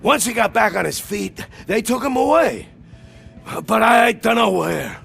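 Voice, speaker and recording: An actor playing a frightened, cowardly accountant in a video game, nervous, pleading and excitable. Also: male voice, high-pitched, unhurried, creaky, husky, shouting, faint music behind, heavily acted. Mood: anxious, cooperative. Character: cowardly, frightened male accountant for a criminal gang.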